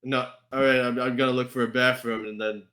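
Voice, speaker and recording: Male podcast panelist, bright tone, casual, stammering, foul-mouthed rambler. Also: medium pitch, level tone, a little fast. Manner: rambling, stammering restarts, sweary. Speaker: podcast panelist and fandub voice actor. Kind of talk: casual group chat about a game fandub.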